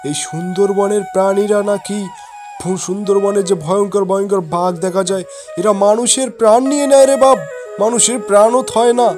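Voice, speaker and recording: Casting: performer as fussy, fearful village father for animation, repetitive scolding, clear music backing. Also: medium pitch, fairly even intonation, brisk, natural voice. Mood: alarmed scolding. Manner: repetitive, rustic scolding talk. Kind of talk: amateur cartoon voice acting. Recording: loud music behind the voice.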